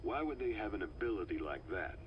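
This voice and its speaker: Deep-voiced skeptical military man. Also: sing-song, fairly quick. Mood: skeptical, probing. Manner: probing, plain-spoken, questioning. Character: gruff, skeptical male military interrogator probing for answers. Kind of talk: video game voice acting, recorded interrogation. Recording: muffled cassette tape with background noise.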